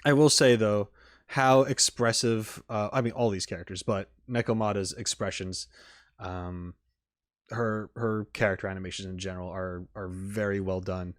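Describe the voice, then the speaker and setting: A man's voice: on the low side, fairly even intonation, normal speed, dry recording, studio quality. A man doing casual, off-the-cuff reaction commentary, rambling and repeating words as he thinks aloud. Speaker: YouTube reaction host.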